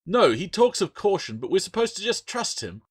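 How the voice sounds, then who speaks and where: A man's voice: sits high, very animated, moderate pace, slightly rough, voice pushed up, heavily acted. A man voicing a bitter, suspicious orphan boy in an audiobook adventure, sarcastic and accusing. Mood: indignant. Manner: indignant, accusing.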